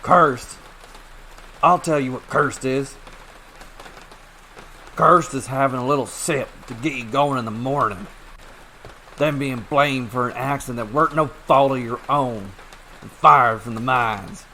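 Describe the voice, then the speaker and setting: A man voicing a drunken, irate miner who talks loudly and belligerently, as if hollering across a room. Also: medium pitch, a little slow, pauses often, slight background noise. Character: drunken rowdy adult male miner, irate.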